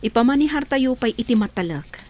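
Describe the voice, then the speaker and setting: An actress voicing a calm, firm older caretaker in a radio drama, speaking reassuringly. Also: female voice, a little high, brisk.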